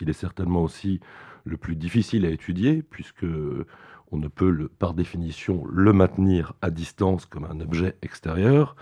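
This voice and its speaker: A middle-aged man hosting a formal radio interview, speaking in a measured, formal way with some hesitations. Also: sits low, warm tone. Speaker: radio host.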